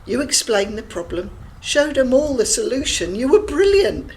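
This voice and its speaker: A woman's voice: high voice, sing-song, moderate pace, darkish tone, poor audio. A woman playing Gabby, a cheeky, provocative middle-aged friend who mocks and prods with blunt humour in an audio drama. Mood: mocking. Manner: teasing, blunt and mocking.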